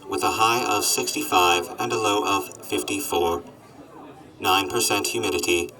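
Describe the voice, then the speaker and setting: A miserable, self-loathing robot drones through his lines in a flat machine monotone. The voice sits low but has a bright, forward edge. He is heard from a little way off the mic, with faint music underneath. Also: distant mic, low-quality recording. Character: gloomy miserable male robot on treads. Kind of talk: radio drama acting.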